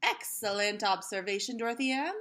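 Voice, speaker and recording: A woman's voice: mid-range voice, expressive, a little fast, a bit bright, lightly acted. A woman reading a storybook as an eccentric, enthusiastic female science teacher, theatrical and instructive.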